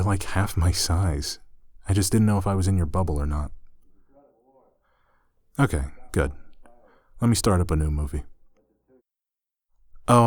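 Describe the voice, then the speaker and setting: Deep-voiced easygoing male roommate, acted by a man. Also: darkish tone, no echo. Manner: casual, conversational, hesitant trailing asides. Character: easygoing young male roommate, gently teasing, slightly awkward. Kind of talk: intimate ASMR roleplay audio drama.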